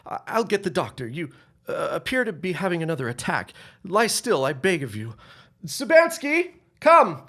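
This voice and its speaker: An actor playing an anxious, genteel grown son in a period audio drama, speaking formally with a husky voice. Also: male voice, sits high, big pitch swings, sharp tone, full character voice. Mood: flustered, alarmed. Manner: formal, old-fashioned, halting under pressure. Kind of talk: period radio drama acting.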